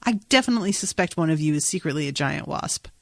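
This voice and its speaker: A woman's voice: deep voice, fast, gravelly, no echo. Wry woman recapping a show, dry close sound. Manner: wry, sweary, animated retelling with acted-out quotes. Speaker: podcast host. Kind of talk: casual recap chat about a TV episode.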